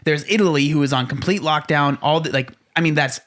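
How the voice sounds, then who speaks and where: Rambling young man, slightly high voice. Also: fairly quick, fairly bright tone. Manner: rambling, heavy on "like" fillers. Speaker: podcast co-host. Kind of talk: casual chat.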